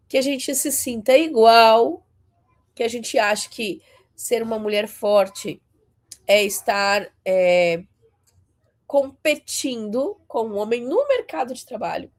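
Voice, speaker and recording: Slow, husky woman in her forties. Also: a little high. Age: 40s. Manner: conversational, frequent "né?" tags, emphatic rises.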